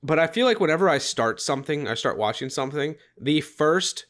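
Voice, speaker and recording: A man with a raspy voice co-hosting a film podcast, talking casually and acting out little shouted bits. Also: on the high side, fairly quick. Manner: lively, shouts bits in impressions. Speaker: podcast co-host. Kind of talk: casual chat.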